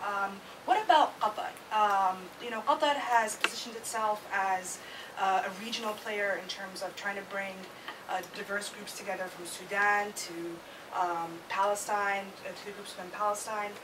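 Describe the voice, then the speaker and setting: A woman in the audience asking a panel questions in a loud voice in a conference room. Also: medium pitch, fairly even intonation, far from the mic. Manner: loud, quick asides. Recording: off-mic, clear room noise.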